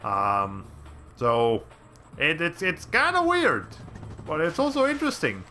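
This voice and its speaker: A male streamer with a raspy voice giving casual, rambling commentary while playing a game, often restarting his sentences. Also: a little high, wildly swooping pitch, slow pace, bright, forceful tone, a little hiss or hum. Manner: rambling, chatty, frequent restarts.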